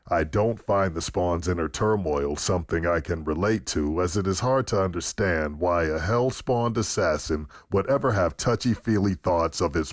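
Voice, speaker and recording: A man's voice: low-pitched, no room sound, studio quality. A man reading written reviews aloud on a podcast in a scripted delivery. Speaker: podcast review segment reader.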